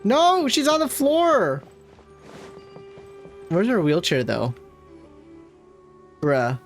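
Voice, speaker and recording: A male gaming streamer gives casual playthrough commentary. His voice is a bit high for a man, and he mutters reactions to himself over background music. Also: huge pitch swings, drawn-out words.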